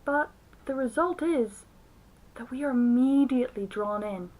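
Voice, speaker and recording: Woman doing a scripted movie review, voice on the high side. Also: a little slow, darkish tone, poor audio. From outside the United States. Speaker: film reviewer.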